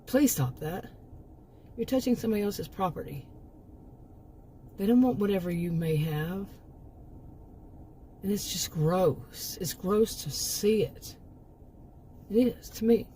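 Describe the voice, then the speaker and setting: Middle-aged woman, channel host, soft warm mid-pitched voice going hoarse; rambling, emphatic, full of restarts. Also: expressive, takes it slowly, pauses often, a little hiss or hum. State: losing her voice. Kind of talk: casual opinion monologue.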